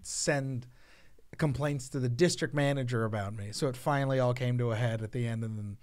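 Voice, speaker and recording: A man from the Bay Area, co-hosting a podcast in a small studio, rambling quickly through a story. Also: medium pitch, a little mellow. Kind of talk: casual podcast chat. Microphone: boom mic on arm.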